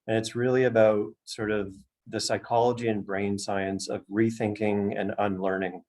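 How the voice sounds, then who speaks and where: Male, Canadian accent, lower register. Thoughtful interviewee, measured and searching delivery. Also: level tone, darkish tone. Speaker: author and outdoor education director being interviewed. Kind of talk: thoughtful interview answers at an online book launch.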